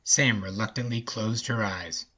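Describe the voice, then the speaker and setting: A man's voice: lowish voice, unhurried, sharp tone, slightly rough. A man narrating a scripted folksy story as the narrator of an audio drama.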